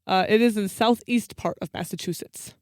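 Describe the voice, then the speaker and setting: A woman's voice: mid-range voice, normal speed, a little mellow, no room sound, studio quality. A woman co-hosting a podcast, explaining folklore in casual, informal chat. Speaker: podcast co-host.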